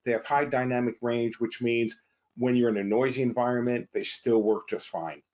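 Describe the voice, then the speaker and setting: A man's voice: mid-range voice, fairly even intonation, normal speed, darkish tone. Man explaining on a dull video call. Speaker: company representative, webinar guest. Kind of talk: informal technical explanation on a webinar.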